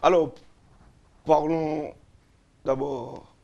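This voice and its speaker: A man, a lawyer and radio guest, explaining constitutional articles slowly, repeating and restarting phrases. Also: on the high side, little pitch movement, creaky, mellow, dull audio. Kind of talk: explaining constitutional law on a radio talk show.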